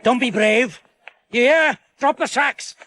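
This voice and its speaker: An actor playing an aggressive, frantic armed robber in a radio drama, barking urgent short commands. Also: male voice, extremely high pitch, slow, bright, forceful tone, no room sound, heavily acted. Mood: menacing, shouting.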